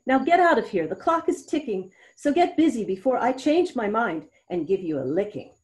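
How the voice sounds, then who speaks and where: A woman's voice: fairly high pitch, sing-song, moderate pace. A woman playing a stern, commanding queen in a verse readers' theatre piece, speaking formally and with authority. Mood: threatening. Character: imperious queen, stern, commanding, passing judgment on a youth. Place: indoors at home on a video call.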